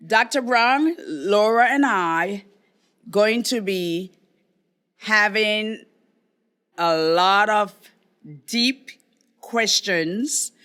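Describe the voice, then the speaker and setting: A woman hosting a podcast interview, friendly and slightly halting as she introduces her guest. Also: medium pitch, fairly bright tone. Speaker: podcast host.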